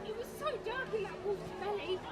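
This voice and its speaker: A woman's voice: very high-pitched, moderate pace. A woman playing an earnest young girl in a live children's fairytale show. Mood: relieved. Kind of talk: live children's theatre acting. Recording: distant voice, loud crowd and music.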